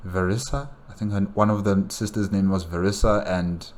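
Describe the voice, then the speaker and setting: Male reaction host, low register, casual and unscripted, hesitant and reflective delivery. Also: fairly even intonation, normal speed. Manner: hesitant, musing, repeats phrases. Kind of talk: casual off-the-cuff reaction commentary.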